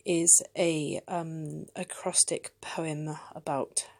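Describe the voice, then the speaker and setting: Young adult woman, British accent, lowish voice, casual vlog chat, hesitant and rambling. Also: level tone, very slow, darkish tone. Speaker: homeschooling mother and vlogger.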